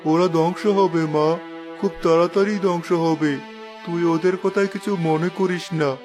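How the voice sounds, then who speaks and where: A man voicing a kindly, poor old village father in a children's cartoon, with a gentle moralizing manner. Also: a little high, fairly even intonation, unhurried, over music, natural voice.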